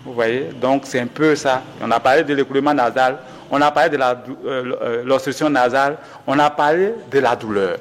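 A man's voice: mid-range voice, steady tone, long reverb tail. A man, a doctor, patiently explaining symptoms in an interview, often restarting and correcting himself. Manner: measured, explanatory, with frequent restarts and self-corrections.

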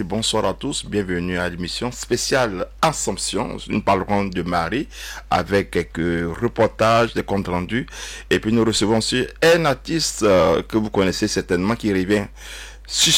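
A man hosting a religious radio talk show, often pausing and repeating his words. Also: fairly low pitch. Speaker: radio host.

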